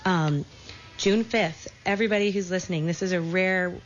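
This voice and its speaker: A woman's voice: on the low side, normal speed, soft background music. A woman co-hosting a radio talk show, presenting a news item in a chatty way with frequent ums. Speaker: radio co-host. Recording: dull muffled broadcast with faint noise.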